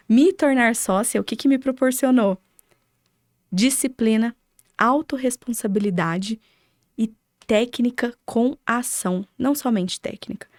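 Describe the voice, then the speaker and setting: A woman's voice: fairly high pitch, no echo, studio quality. A young adult woman with a Brazilian accent answering as a podcast guest in an emphatic, motivational way, often posing rhetorical questions. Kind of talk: conversational interview answers, motivational.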